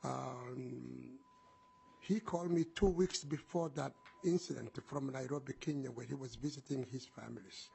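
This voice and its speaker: A middle-aged man with a Somali accent giving public testimony in deliberate, hesitant phrases. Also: mid-range voice, vocal fry, warm tone, breathy edge. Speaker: program manager giving testimony. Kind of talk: public testimony, spoken semi-freely.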